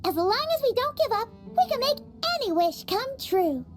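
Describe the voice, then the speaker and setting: A woman voicing a small, cheerful, childlike fairy companion in a video game, with a squeaky, excitable delivery. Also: moderate pace. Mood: earnest, upbeat. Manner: talks about herself in third person, excitable. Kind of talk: video game cutscene voice acting. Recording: background music behind the voice.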